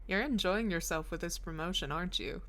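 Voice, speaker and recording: A woman's voice: on the low side, brisk, fairly bright tone. A woman playing a capable police lieutenant in an audio drama, articulate and businesslike. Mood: wry.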